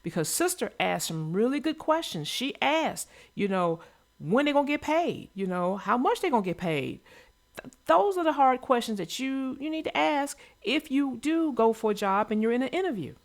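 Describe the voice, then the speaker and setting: A woman talks casually as a co-host about a children's story in a somewhat high voice. Her pitch swings widely as she makes her points emphatically and hands out advice in everyday conversational language. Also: very animated, moderate pace. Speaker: read-aloud show co-host.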